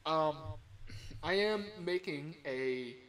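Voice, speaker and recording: A man's voice: fairly high pitch, lively intonation, slow, crowd noise. A man chatting casually on a livestream as an amateur voice actor, rambling, self-deprecating and breaking off mid-thought.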